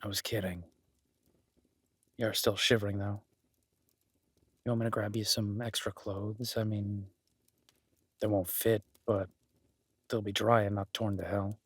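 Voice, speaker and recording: A man playing a solitary, kindly cabin dweller and former medic in an intimate audio roleplay, speaking haltingly with dry teasing and reassurance. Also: fairly low pitch, level tone, breathy edge, no room sound. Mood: teasing, reassuring. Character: gruff-kind solitary cabin-dwelling former EMT, awkward, teasing, protective.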